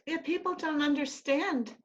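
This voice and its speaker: A woman's voice: sits high, moderate pace. A woman host with a New Jersey accent chatting over a video call, affirming her guest and laughing often. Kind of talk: casual video chat. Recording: dull, slightly lo-fi call audio.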